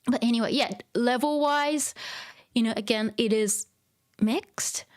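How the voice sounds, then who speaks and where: Chatty Japanese woman, fairly high voice. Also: a little slow, darkish tone. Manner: chatty, full of "you know" and "um", encouraging. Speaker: Japanese teacher streaming a manga reading. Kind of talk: casual livestream teaching, answering chat.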